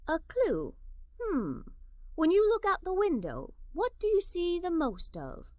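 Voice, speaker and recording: A woman's voice: very high voice, wildly swooping pitch, measured pace, a little mellow, dry recording, clean studio sound, pitched above the performer's own voice, exaggerated voice. A woman voicing a kind, cheerful grandmother in a children's storybook, encouraging and joyful. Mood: playful.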